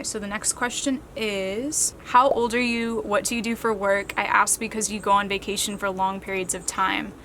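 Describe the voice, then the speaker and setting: A woman's voice: mid-range voice, a bit bright, slight background noise. Chatty thirty-year-old woman vlogger. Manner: chatty, reads out questions then answers at length. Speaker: vlogger answering viewer questions. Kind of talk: casual Q&A talk to camera.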